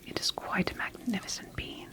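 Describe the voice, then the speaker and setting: A woman playing a calm, attentive scientist in a sci-fi ASMR roleplay, speaking in a gentle, measured, clinical manner with pauses between phrases. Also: close to an everyday voice. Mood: clinical, admiring. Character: calm, attentive female scientist studying her bioengineered creation.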